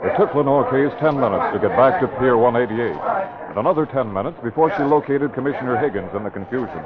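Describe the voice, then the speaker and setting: A man narrating a radio drama in a measured, dramatic storytelling style. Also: medium pitch, expressive, over a noisy crowd. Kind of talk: scripted narration. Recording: tinny old radio broadcast.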